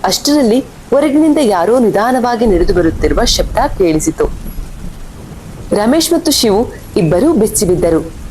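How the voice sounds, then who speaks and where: A woman's voice: sing-song, moderate pace, pauses often, darkish tone, rough recording. A woman reading scripted horror story narration as the storyteller. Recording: faint rumble and rain behind voice.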